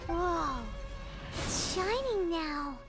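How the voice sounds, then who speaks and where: A woman voicing a tiny, excitable fairy companion girl in a video game, squeaky, childlike and exclamatory. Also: drawn-out words, music in the background, heavily acted. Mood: awed. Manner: exclamatory, refers to self by name. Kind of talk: cartoonish video game voice acting.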